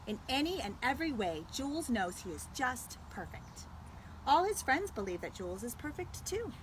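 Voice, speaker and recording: Animated, high-voiced American woman reading aloud. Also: moderate pace. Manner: animated, expressive delivery aimed at young children. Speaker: school librarian reading a picture book. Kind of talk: read-aloud storytelling for children. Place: at home. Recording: noisy home video with background sounds.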